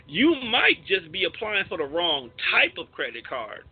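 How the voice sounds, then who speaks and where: A man's voice: high-pitched, wildly swooping pitch, measured pace, breathy edge. A man hosting a casual podcast, talking in slangy, teasing banter on a muffled recording. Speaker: podcast host.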